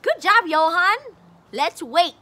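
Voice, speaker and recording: A woman's voice: very high-pitched, lively intonation, bright, forceful tone. A woman teacher in her forties reading a picture book aloud to a child, outdoors, filmed on a phone at arm's length. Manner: slow, simple, encouraging teacher talk. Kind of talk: slow read-aloud lesson for a child. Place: outdoor patio by a brick wall. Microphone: phone camera at arm's length.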